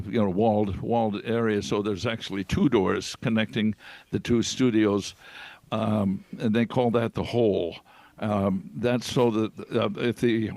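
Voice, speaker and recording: A man in his nineties, a veteran radio performer, casually reminiscing in a halting, rambling way with repeated words. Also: on the low side, gravelly, no echo. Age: 90s.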